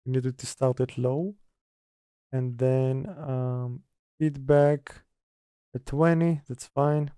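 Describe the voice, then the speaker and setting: A man giving a casual software tutorial, hesitant and thinking aloud as he works. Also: mid-range voice, fairly even intonation, slow pace, long pauses, clear, resonant tone, no room sound. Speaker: tutorial presenter. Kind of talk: casual software tutorial, talking through steps.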